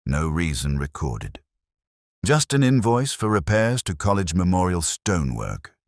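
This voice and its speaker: A man playing a world-weary police inspector, recounting a case in measured, literary, reflective detective narration. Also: low voice, big pitch swings, no room sound, clean studio sound. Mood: somber, watchful. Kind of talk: scripted first-person detective story narration.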